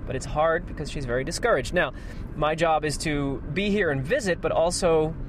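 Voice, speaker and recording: Inside a moving car, with road and engine noise clearly audible, a man with an American accent talks in a slightly high-pitched voice. He muses aloud like a solo podcast host, circling back over his phrases and pausing to find the next thought. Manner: unhurried, halting, repeats phrases while thinking aloud. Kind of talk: stream-of-consciousness solo podcast, casual musing. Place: inside an old car being driven, windows closed. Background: road noise, engine, passing vehicles.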